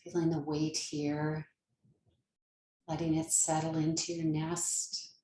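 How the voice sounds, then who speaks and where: A woman leading a guided meditation as a yoga nidra teacher, speaking gently and soothingly with long pauses. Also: sits low, flat delivery, reverberant, a little hiss or hum.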